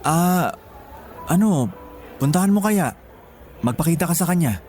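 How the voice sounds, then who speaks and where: A man's voice: on the high side, expressive, a little slow, a little mellow, soft background music. A man playing a devoted, grateful younger brother in a Filipino radio drama, earnest and respectful. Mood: serious, confiding.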